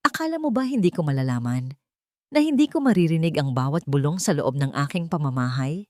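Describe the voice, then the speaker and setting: A cold, villainous Filipino father, acted out by a woman narrator in a dramatic audio story. The delivery is controlled and menacing, with wide swings of pitch. The recording is dry. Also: sing-song, fairly quick, darkish tone, dry recording. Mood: cold menace.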